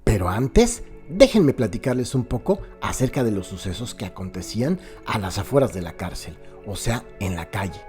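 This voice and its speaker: A man with a Mexican accent tells a scripted radio tale over prominent background music. His fairly low voice swings widely in pitch, and he speaks theatrically, straight to his listeners. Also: big pitch swings, moderate pace, hardly any pauses, darkish tone. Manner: theatrical, addresses listeners directly. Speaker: narrator and host of a true-crime radio serial. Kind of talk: dramatic scripted storytelling narration.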